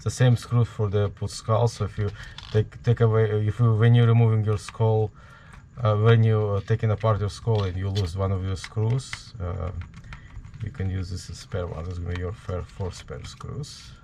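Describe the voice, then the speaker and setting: A man with a heavy Ukrainian accent, giving a hands-on tutorial at a workbench in a halting way, full of fillers. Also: lowish voice, level tone, warm tone, a little hiss or hum. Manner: halting, many fillers, talks while working. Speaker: prop maker.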